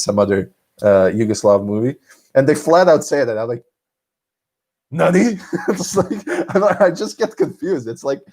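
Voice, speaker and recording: A young man from Serbia with a Serbian accent, telling stories in a casual solo vlog, restarting often and swinging his pitch up and down. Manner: animated, frequent restarts and laughs, pitch swinging widely. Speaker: vlogger host. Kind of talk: casual monologue storytelling.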